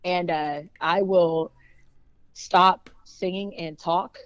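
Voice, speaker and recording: A woman's voice: fairly low pitch, steady tone, extremely slow, smooth, dry recording, muffled recording. A woman, a singer chatting casually in an interview, rambling and laughing often. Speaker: singer and band leader.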